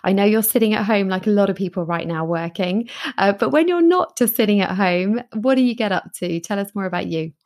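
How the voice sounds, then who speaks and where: A woman's voice: medium pitch, expressive, brisk, hardly any pauses, darkish tone. A woman hosting a podcast interview, asking encouraging questions and teasing her guest in a friendly way. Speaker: podcast host.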